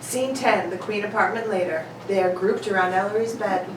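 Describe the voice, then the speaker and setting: A woman's voice: mid-range voice, normal speed. A woman reading out stage directions as scripted narration for a comedic radio play. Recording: echoey room, distant mic, loud background noise.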